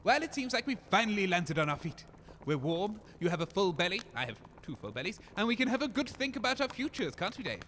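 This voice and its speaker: A man's voice: high-pitched, very animated, fast, a bit bright, a little hiss or hum. An actor playing a vain, blunt, cowardly male radio DJ in an audio comedy drama, pompous and flippant. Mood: flippant, smug.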